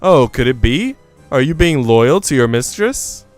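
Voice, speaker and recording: A man's voice: mid-range voice, expressive, measured pace, soft background music, close to an everyday voice. A man voicing a haughty young woman from a visual novel, self-assured and condescending. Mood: probing, candid. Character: haughty, dismissive young woman, quick to judge.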